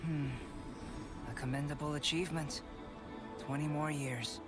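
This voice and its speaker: An actor playing a detached, contemplative young alchemist in a video game, measured and solemn, like a scholar. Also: male voice, a little high, steady tone, lightly acted. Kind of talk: scripted game character acting. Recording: game audio under loud music.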